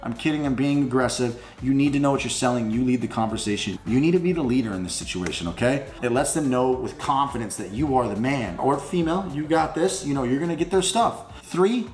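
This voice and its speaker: A man giving an informal sales coaching talk, blunt and emphatic, slipping into sample phone pitches. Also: animated, a little fast, few pauses, a little mellow. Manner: blunt, emphatic, salesman-like, addresses listeners as guys. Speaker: instructor teaching phone sales for junk removal. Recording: roomy echo with music underneath.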